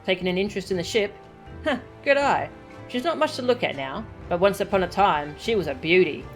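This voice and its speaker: A woman's voice: mid-range voice, lively intonation, brisk, soft background music, close to an everyday voice. A woman reading the lines of a male pirate sailor who reminisces about an old ship. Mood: reminiscing.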